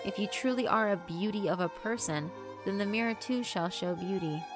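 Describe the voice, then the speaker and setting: A woman voicing a polite, solemn mirror yokai who speaks formally and gives measured, admonishing advice in a visual novel. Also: mid-range voice, natural voice. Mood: calm, admonishing. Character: solemn, polite mirror yokai delivering stern, wise warnings. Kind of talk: dramatic visual novel voice acting. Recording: music behind the voice.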